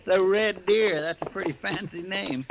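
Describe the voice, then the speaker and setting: An actor playing a folksy, loyal, excitable deputy in an old-time radio western. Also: male voice, sits high. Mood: easygoing, curious. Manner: folksy, drawn-out, deferential. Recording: noisy, crackly old radio recording.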